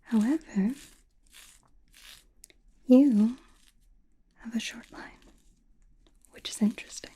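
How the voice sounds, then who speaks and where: A woman playing a mysterious, attentive sorceress fortune-teller in a whispered ASMR roleplay, speaking softly and deliberately close to the microphone. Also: fairly high pitch, exaggerated voice. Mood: calm, mystical. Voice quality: breathy, mostly unvoiced whisper. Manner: slow, deliberate, soothing with soft pauses. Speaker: ASMR performer.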